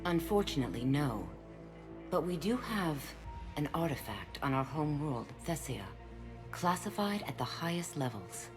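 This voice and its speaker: A woman's voice: deep voice, very animated, moderate pace. A woman voicing a dignified, guarded alien stateswoman in a video game, speaking in a formal, diplomatic way. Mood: grave, formal. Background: music.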